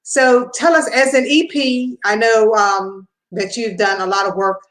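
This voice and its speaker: A woman's voice: on the high side, steady tone, unhurried, a bit bright, reverberant, poor audio. A woman hosting an interview, asking long, rambling questions with many restarts. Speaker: host.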